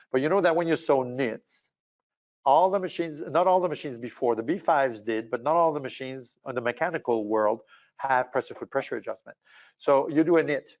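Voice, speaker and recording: An older man whose first language is French, chatting enthusiastically as an educator demonstrating sewing machines in a bright studio. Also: medium pitch, lo-fi sound. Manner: chatty, enthusiastic, frequent fillers and restarts. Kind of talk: product demonstration lesson. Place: bright studio with shelves, indoors.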